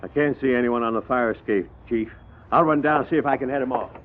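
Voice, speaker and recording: A man's voice: medium pitch, fast, close to an everyday voice. An actor playing an eager, dutiful police sergeant in a 1950s radio drama, deferential to his boss. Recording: tinny old radio recording with music.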